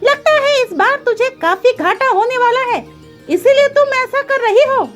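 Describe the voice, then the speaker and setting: Lady doing a whiny boastful crow guy for a kids cartoon, super high and swoopy, music underneath. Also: animated, normal speed. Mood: mocking. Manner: whining, mocking, exclamatory. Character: whiny gloomy boastful male crow farmer.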